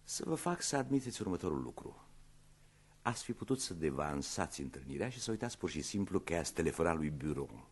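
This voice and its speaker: A man's voice: rumbling low, very animated, husky, exaggerated voice. An actor playing a polite but insistent police inspector, slyly ironic as he questions suspects in a radio play. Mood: insistent, probing.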